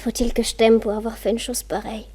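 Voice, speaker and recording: A trusting young society lady in a radio melodrama. Her voice is fairly high yet warm and mellow. She speaks tenderly and emotionally, as one hopelessly in love. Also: flat delivery, fast talker, breathy edge. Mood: adoring, pleading. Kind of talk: French radio play acting.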